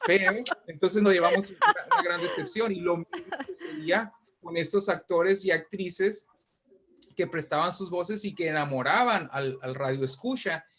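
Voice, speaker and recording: A middle-aged man with a northern Mexican Spanish accent, talking conversationally as an interview guest, in an animated, discursive way with frequent check-questions. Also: sits high, normal speed. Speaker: guest writer and poet.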